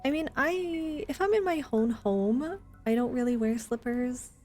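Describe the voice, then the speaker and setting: High-voiced chatty woman streaming a game. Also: a little slow, darkish tone, soft background music. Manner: informal, chatty, playful. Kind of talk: casual live gaming chat with viewers.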